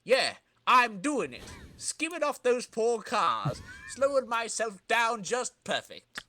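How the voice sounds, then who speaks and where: A man's voice: very high-pitched, big pitch swings, slow pace, sharp tone, pitched above the performer's own voice, full character voice. A man voicing a boastful, vengeful skateboarding comic-book villain with swaggering, slangy tough talk. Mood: triumphant.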